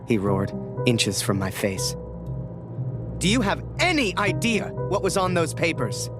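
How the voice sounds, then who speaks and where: A man's voice: lowish voice, lively intonation, normal speed, natural voice. An actor playing an earnest young Canadian war photographer, telling his story in a candid, confiding way. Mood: shaken. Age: young adult. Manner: candid, plain-spoken, confiding. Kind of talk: scripted storytelling narration. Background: music.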